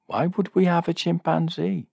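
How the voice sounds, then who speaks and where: A man's voice: fairly high pitch, level tone, moderate pace, creaky, a little mellow, slightly rough, no room sound, studio quality, pitched above the performer's own voice. A man voicing a naive, coquettish woman in a story reading, eager and coy. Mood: curious. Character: joyous, naive, coquettish woman, defending her friend.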